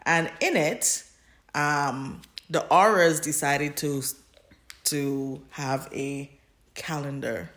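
Low-voiced woman chatting casually on a podcast. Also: takes it slowly, a bit bright. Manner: giggly, informal, rambling. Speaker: podcast co-host. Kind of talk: casual chat, recapping fan fiction.

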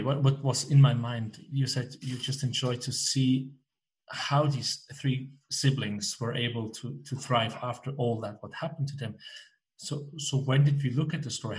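Man, podcast host, interview chat, halting questions, middle pitch. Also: poor audio. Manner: searching, restarts questions, laughs often.

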